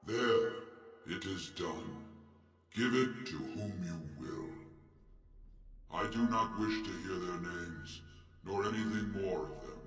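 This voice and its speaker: A man playing an ancient, weary golem craftsman in a fantasy game, speaking gravely and formally. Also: deep voice, slow pace, pauses often, fairly bright tone. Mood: solemn, weary. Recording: echoing hall, distant, music behind.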